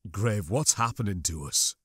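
A man's voice: fairly low pitch, expressive, moderate pace, a bit bright, slightly rough, no echo, studio quality, full character voice. A man voicing an earnest, troubled stormtrooper with a tense, questioning manner in an audiobook. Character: troubled, doubting male stormtrooper, earnest and uneasy.

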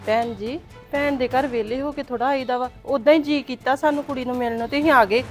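A woman's voice: sits high, fairly even intonation, fast, soft background music, close to an everyday voice. A woman playing a pleading, earnest mother. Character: pleading middle-aged mother visiting her married daughter. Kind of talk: homemade family drama skit.

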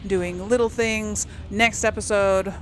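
A woman's voice: medium pitch, fairly even intonation, slow, a little hiss or hum. A woman hosting a casual let's play, chatting and thinking aloud as she plays. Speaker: gaming channel host. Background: game music.

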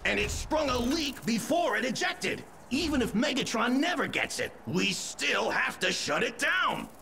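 An excitable robot inventor shouts urgently in a high, gravelly voice. His pitch leaps around. Loud music plays behind. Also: a little slow, a little hiss or hum, full character voice.

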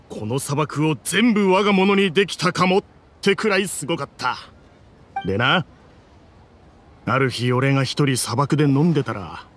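An actor playing a gruff, boastful but tender-hearted mercenary father, speaking his letter in rough, casual, teasing words in a game. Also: medium pitch. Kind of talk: voiced letter monologue in game cutscene.

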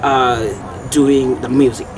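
A man's voice: medium pitch, steady tone, slow pace, a little hiss or hum. A young man from northern Uganda with a Ugandan accent hosting a casual interview, joking and laughing often, speaking into a handheld mic outdoors. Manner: jokey, laughs often, mock-changing accent. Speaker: host. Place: outdoors by a painted wall.

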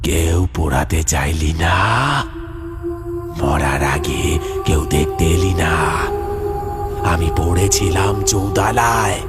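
Horror cartoon ghoul: extremely low, drawn-out, menacing and bitter, over loud music. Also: male voice, fairly even intonation. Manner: slow, drawn-out, accusing. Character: vengeful hungry male undead ghoul, bitter and menacing.

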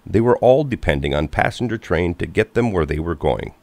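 A man narrating a children's storybook from a script in an expressive, clearly paced manner. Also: low-pitched, fairly quick, no room sound.

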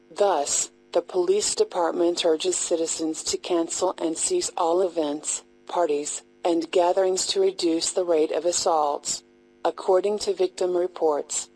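A woman reading a scripted emergency announcement in a measured, impersonal, formal way. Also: fairly low pitch, darkish tone, low-quality recording.

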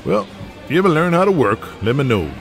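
A man's voice: medium pitch, very animated, quick pace, soft background music, voice pushed up, natural voice. A man voicing a big, hearty wrestling hero with a folksy cowboy way of talking. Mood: frank, encouraging. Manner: folksy, drawling cowboy talk.

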